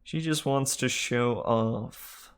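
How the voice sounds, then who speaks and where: Male storybook snake, acted by an audiobook reader, raspy, drawn-out, grumbling, sarcastic. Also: medium pitch.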